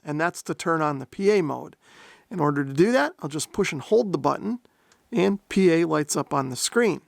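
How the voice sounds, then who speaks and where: A man's voice: on the high side, gravelly, no echo, studio quality. A man narrating a product demonstration in a methodical, step-by-step explanatory way. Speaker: host of a radio gear review channel.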